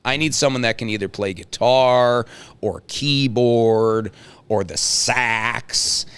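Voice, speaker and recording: A man's voice: mid-range voice, takes it slowly, a bit bright, clean tone. A man hosting a casual talk show, chatting in a folksy way and prodding guests with questions. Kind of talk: casual chat, interviewing guests.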